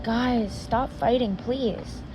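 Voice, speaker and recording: A woman's voice: a little high, drawn-out words, music in the background. A woman voicing a cautious, sensible young male peacemaker in a zombie story read aloud. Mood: exasperated.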